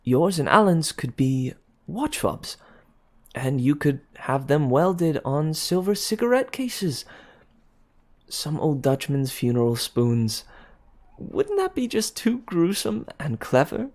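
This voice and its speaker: A man's voice: medium pitch, big pitch swings, unhurried, a little mellow. A man voicing an eager, gushing young woman engaged to be married.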